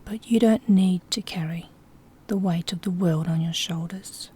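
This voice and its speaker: A soft-spoken woman with a breathy, whispery voice gently guiding a sleep hypnosis session in a soothing, instructing way. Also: on the low side, a little slow. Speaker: hypnosis guide.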